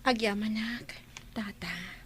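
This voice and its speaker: A woman playing a despondent, stubborn young daughter in a radio drama, with a breathy delivery. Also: medium pitch, slow pace. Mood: bitter. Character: despondent young woman stubbornly refusing her mother's care.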